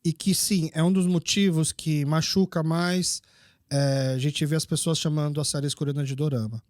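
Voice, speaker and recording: A man hosts a relaxed sit-down conversation. He has a notably high voice for a man. He tells his stories with lively, acted-out dialogue, and the sound is close and dry. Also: normal speed, no echo. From Brazil. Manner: animated storyteller who acts out dialogue. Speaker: podcast host. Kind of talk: casual conversational interview.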